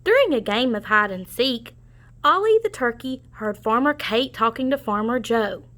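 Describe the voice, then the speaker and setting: Bright, high-voiced woman reading a storybook. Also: animated, normal speed. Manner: explanatory asides to young listeners. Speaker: storybook reader. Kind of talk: children's read-aloud narration.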